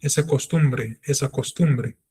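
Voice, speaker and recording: A man's voice: medium pitch, takes it slowly, warm tone, slightly rough. A middle-aged man teaching a Torah class in an earnest, self-reflective way, drawing on his own life. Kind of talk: religious class lecture.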